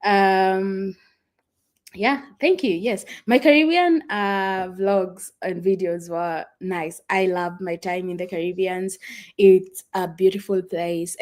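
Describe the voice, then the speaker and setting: A young Kenyan woman with a Kenyan accent, whose first language is Swahili, chatting casually and rambling with fillers and soft laughs as she hosts a travel livestream from a friend's house. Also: mid-range voice. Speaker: travel vlogger hosting a livestream. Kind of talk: casual livestream chat with viewers.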